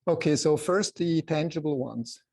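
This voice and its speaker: A soft-voiced man with a Swiss accent presenting an informal photography lecture to a group, in halting phrases with many pauses. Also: fairly high pitch, gravelly, husky. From Switzerland.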